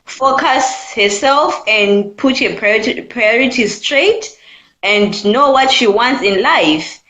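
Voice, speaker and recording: A woman's voice: on the high side, takes it slowly, sharp tone, reverberant, low-quality recording. A woman in her twenties from Zimbabwe with a Zimbabwean accent, calling in to share her opinion in an earnest way that keeps returning to her points. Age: 20s. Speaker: caller. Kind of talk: call-in opinion chat.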